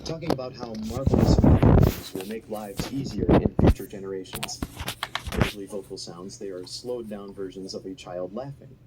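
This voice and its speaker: A man's voice: lowish voice, moderate pace, very noisy. A man reading a scripted, analytical video essay narration.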